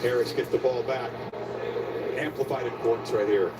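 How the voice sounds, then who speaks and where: A man doing play-by-play on a televised game, steady and informative in tone. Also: medium pitch, normal speed. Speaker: play-by-play announcer. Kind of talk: broadcast play-by-play. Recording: TV broadcast audio heard from a distance, heavy noise.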